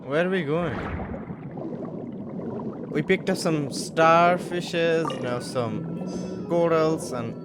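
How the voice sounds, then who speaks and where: A game streamer giving casual, joking commentary in a halting way, often repeating words. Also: medium pitch. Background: game music.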